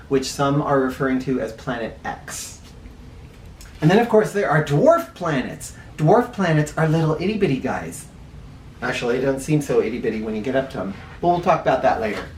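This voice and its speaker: A man reads a children's picture book aloud in a roomy, echoey space, a little way from the mic. He has a medium-pitched voice and explains as he goes, slipping into informal asides. Faint noise sits under the recording. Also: far from the mic. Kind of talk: read-aloud storytelling with teaching asides.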